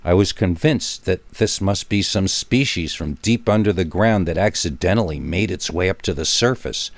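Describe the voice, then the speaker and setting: Very deep-voiced man telling scary stories. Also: moderate pace, dry recording. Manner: wry, conversational asides to the listener. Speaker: horror story narrator. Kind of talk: dramatic first-person scary story narration.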